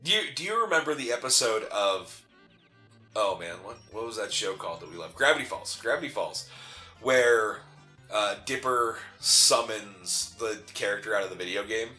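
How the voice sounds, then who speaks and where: Bright-voiced joking man over game music. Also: fairly low pitch, expressive, normal speed. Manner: joking, self-deprecating. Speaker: co-host of a video game playthrough. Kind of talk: casual banter over gameplay.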